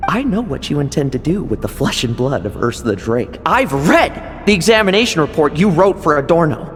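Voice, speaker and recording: An actor playing a sly, composed young man in a video game, with a smooth, condescending and theatrical delivery. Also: on the high side, big pitch swings, fairly quick, slight background noise. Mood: coolly knowing. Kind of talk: video game character acting. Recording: long reverb with music behind.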